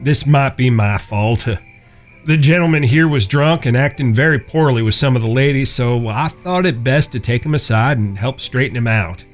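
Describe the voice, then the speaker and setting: Man acting a courteous, drawling cowboy gentleman. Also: mid-range voice, soft background music, natural voice. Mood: apologetic. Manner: courteous, measured, earnest. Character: chivalrous blind cowboy, polite but steely. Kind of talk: Western audio drama acting.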